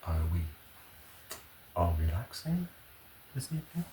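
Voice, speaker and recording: Slow, deep-voiced man in a roomy, noisy recording. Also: big pitch swings, warm tone. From Canada. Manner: unhurried, murmuring asides and hums. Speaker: ASMR video host. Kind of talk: ASMR, casual cooking chat. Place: indoors at home kitchen. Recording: roomy echo, clear background noise.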